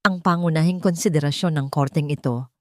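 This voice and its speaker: A woman voicing a calm, authoritative female judge in an audio story, measured, formal and firm. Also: low voice, dry recording, clean studio sound, close to an everyday voice. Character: calm, authoritative female family-court judge delivering a verdict.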